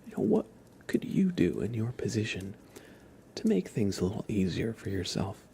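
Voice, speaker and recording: Soft, low-voiced man hosting ASMR. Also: sing-song, a little hiss or hum. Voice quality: soft, breathy, often dropping into a whisper. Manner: gentle, soothing, unhurried. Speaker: livestream host. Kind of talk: ASMR livestream, chatting to viewers.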